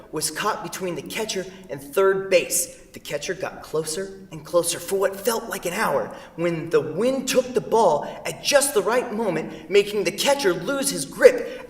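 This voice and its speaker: An echoey, distant stage recording carries an actor as an earnest young man in a comedy. He speaks haltingly, with dry wit and a storyteller's passion. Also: high-pitched. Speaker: actor in a staged play reading. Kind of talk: staged reading of a comedic two-person play. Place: theatre stage, picked up from a distance. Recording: echoey theatre hall, distant microphone.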